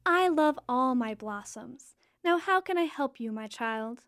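A woman playing a kindly, quirky goddess queen of spring in an audio drama, nurturing and gently formal. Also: sits high, expressive, unhurried, no echo, studio quality.

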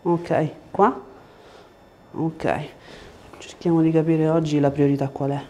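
A man, working as a chiropractor and giving short, gentle instructions and questions during an exam. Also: fairly high pitch, flat delivery, slow pace. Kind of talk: hands-on exam, instructing a patient. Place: small treatment room indoors.

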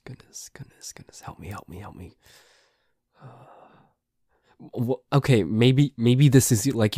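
Man playing nervous tsundere boyfriend, lowish voice, unhurried, hesitant, sweary, suspicious, grumpy, dry ASMR roleplay audio. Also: a little mellow, no echo. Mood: scared muttering, then wary, flustered. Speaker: amateur voice actor.

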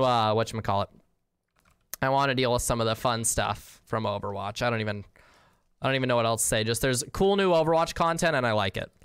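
A man's voice: mid-range voice, fairly bright tone, smooth, dry recording, studio quality. A young man casually chatting with viewers while playing a game, slangy and easygoing, with the odd swear. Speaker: streamer. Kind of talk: casual game livestream, reading chat.

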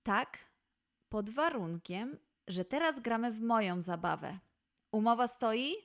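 A woman's voice: on the high side, lively intonation, slow. A woman voicing a playful, encouraging mother lioness who coaxes with game-like challenges in a children's audio play. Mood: coaxing.

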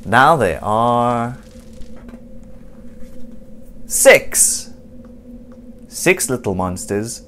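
A storybook reader giving an expressive, theatrical read-aloud for children, deliberate and full of suspense. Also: medium pitch, a little hiss or hum. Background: music and sound effects.

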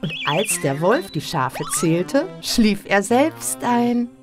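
A woman's voice: medium pitch, very animated, takes it slowly. A woman narrating a scripted bedtime story for children. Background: music and flute behind the voice.

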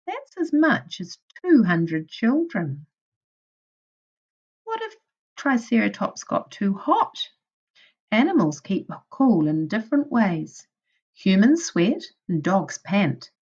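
Slow, expressive woman reading to children. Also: on the low side, muffled recording. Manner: clear, deliberate, addressing young children. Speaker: primary school teacher. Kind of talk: children's storybook read-aloud.

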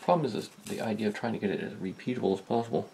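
A man giving a casual hands-on demonstration, talking in a halting, rambling, self-deprecating way. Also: fairly low pitch, gravelly, warm tone. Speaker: host of a vintage electronics video. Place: indoor electronics workbench.

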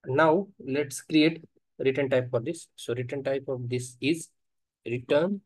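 A man teaching software testing in a screen-recorded coding tutorial, talking haltingly and thinking aloud while he types, with frequent fillers. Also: medium pitch, steady tone, dry recording, rough recording.

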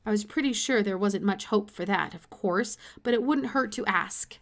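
A middle-aged woman reads a children's story aloud. Her voice sits at an ordinary female pitch, and she narrates expressively in the manner of a teacher. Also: normal speed.

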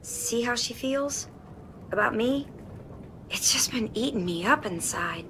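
A woman's voice: fairly high pitch, animated, measured pace, bright, forceful tone, a little hiss or hum, heavily acted, low-quality recording. A woman playing an anxious young survivor in a game drama, speaking hesitantly and apologetically. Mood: hesitant, pleading. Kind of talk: naturalistic video game drama acting.

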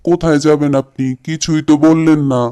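A man's voice: on the high side, fairly even intonation, a little fast, warm tone, smooth, close to an everyday voice. A man voicing a wryly inquisitive village fellow in a cartoon, with a folksy, knowing manner. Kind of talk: cartoon voice acting.

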